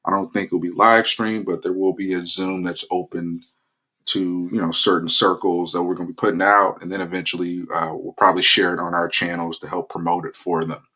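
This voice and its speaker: A man's voice: sits low, brisk. A man hosting his own political commentary channel, talking loosely in a casual vlog promo with lots of fillers and restarts. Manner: relaxed, rambling with many fillers.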